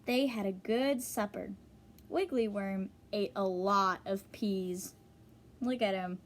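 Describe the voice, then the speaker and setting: A woman teacher reading a children's storybook aloud, pausing to explain and ask young listeners questions. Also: mid-range voice.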